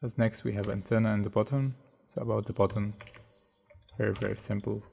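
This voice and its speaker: Low gravelly man talking through a tutorial. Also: steady tone, slight background noise. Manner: thinks aloud, halting, low-key. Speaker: tutorial host. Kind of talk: casual screen-recorded modeling tutorial. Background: soft background music.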